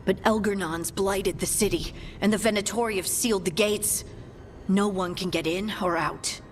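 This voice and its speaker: A woman's voice: mid-range voice, steady tone, normal speed, slight background noise, lightly acted. A woman playing a serious ally in a fantasy video game cutscene, urgent and matter-of-fact. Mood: grim concern. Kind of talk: cinematic game dialogue acting.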